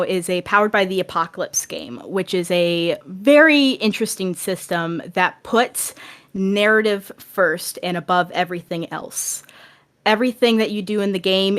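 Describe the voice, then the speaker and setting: A woman talking casually and enthusiastically as a guest on a podcast, in an earnest way. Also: lowish voice.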